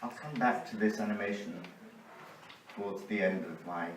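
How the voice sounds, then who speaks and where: A man's voice: on the low side, moderate pace, noisy background. A man giving an academic conference talk with dry humor, reading a colleague's paper. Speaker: conference speaker. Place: conference hall. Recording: roomy hall, voice from a distance.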